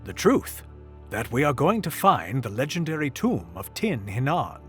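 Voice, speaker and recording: Older gentleman played by a man, composed, courteous, dryly witty, mid-pitched with expressive melody, for audio drama. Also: moderate pace, soft background music, close to an everyday voice. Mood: assured. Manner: polite, dry, composed. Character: genteel, composed older British gentleman explorer.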